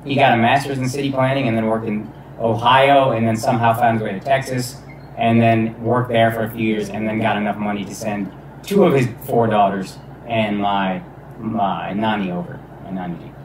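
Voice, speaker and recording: A man guesting on a podcast as a comedian, talking in a relaxed, casual way, swearing now and then and laughing often. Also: lowish voice, moderate pace, roomy sound, recorded from a distance, a little hiss or hum, poor audio. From United States.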